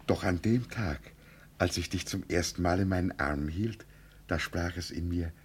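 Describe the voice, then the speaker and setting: A man's voice: low-pitched, normal speed, a little hiss or hum, lightly acted. An actor playing a tender, sentimental middle-aged poor country father in a 1950s German radio play, wistful and earnest. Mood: wistful, proud.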